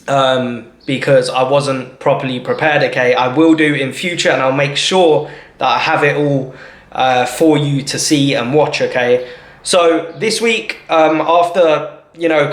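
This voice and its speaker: A man's voice: a little high, a bit bright. A man talking informally to viewers as a vlogger, in a hesitant, reassuring way, often checking in at the end of his points. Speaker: vlogger and marketing agency owner. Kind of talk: informal talk to camera. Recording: echoey, reverberant room.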